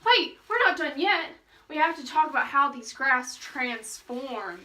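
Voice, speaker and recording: A woman's voice: high-pitched, animated, sharp tone, full character voice. A woman playing a bossy, bargaining wizard who teaches math in a student puppet show. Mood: instructive. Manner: brisk, instructive, impatient.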